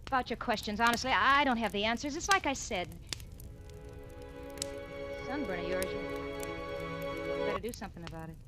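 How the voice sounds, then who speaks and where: Woman acting sardonic, restless radio housewife over loud music. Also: mid-range voice, moderate pace, long pauses, lightly acted. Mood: coaxing, seductive. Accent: American. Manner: sardonic, brazen, world-weary. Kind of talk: 1940s radio thriller acting.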